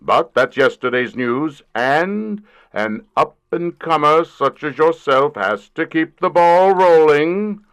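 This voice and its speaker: Blustering, slow-paced, overbearing boss. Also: male voice, on the high side, animated, smooth. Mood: pompous, brisk. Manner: pompous, emphatic, deliberate. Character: blustering, overbearing, middle-aged male company boss. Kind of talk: comic audio drama acting.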